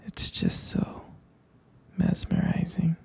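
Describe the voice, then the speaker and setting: A man performing intimate boyfriend roleplay ASMR in a breathy, husky whisper, murmuring tenderly and adoringly with soft pauses.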